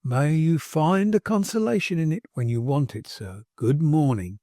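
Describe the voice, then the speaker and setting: A man's voice: fairly high pitch, expressive, normal speed, dark tone, no room sound, clean studio sound, natural voice. A man doing a pompous plaintive old hypocrite. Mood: sanctimonious. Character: pompous self-righteous older man, plaintive.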